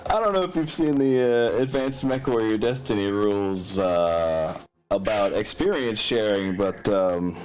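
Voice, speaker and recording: A man's voice: fairly low pitch, unhurried, darkish tone, a little hiss or hum, low-quality recording. A man speaking casually as game master of a tabletop game, drawing out phrases for emphasis. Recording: music behind the voice. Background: background music.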